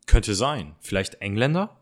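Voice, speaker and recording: A man's voice: lowish voice, very animated, a little slow. A man playing an easygoing, slightly grumbling teenage boy detective in an amateur radio play. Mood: doubtful.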